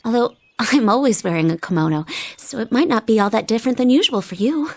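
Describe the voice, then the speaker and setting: An actress playing a shy, polite young woman in anime game dialogue, soft-spoken and demure. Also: a little high, lively intonation, fast talker. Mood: polite, bashful. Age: young adult.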